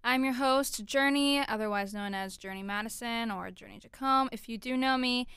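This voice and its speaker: A woman's voice: on the high side, normal speed, a bit bright, clean tone, dry recording, clean studio sound. A twenty-year-old woman from Florida hosting her own podcast in a chatty, upbeat way, often saying "like" and "you know". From Orlando, Florida, USA. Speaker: podcast host. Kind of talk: casual solo podcast chat.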